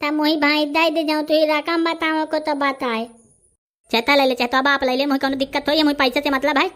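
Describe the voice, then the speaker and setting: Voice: high-pitched, little pitch movement, brisk, a bit bright. An actor with a Bundelkhandi accent voicing a brash, argumentative village man haggling in a comedy cartoon. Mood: brash, impatient.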